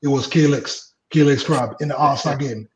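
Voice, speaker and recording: A man in his late thirties from the Virgin Islands, a guest telling stories about his baseball days in a relaxed way, laughing easily and trailing off with fillers. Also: mid-range voice, normal speed, roomy sound, highs cut off, a crowd in the background. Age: late 30s. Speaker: guest, former baseball pitcher. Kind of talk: casual interview storytelling.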